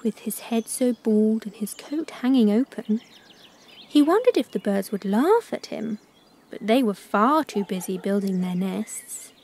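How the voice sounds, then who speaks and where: A woman narrating a children's story from a script, in an expressive, careful storytelling manner. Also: mid-range voice, a little slow, slight background noise.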